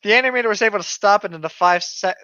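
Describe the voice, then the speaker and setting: A man's voice: sits high, racing, sharp tone, dry recording, dull audio. A man co-hosting a comedy show, casually reading aloud with mocking, sweary commentary.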